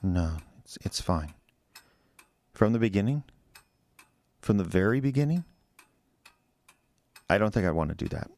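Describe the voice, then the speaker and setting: An American man acting in a radio drama as an anxious, defensive husband who speaks haltingly and repeats his words. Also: fairly low pitch, expressive, darkish tone. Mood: anxious, rambling.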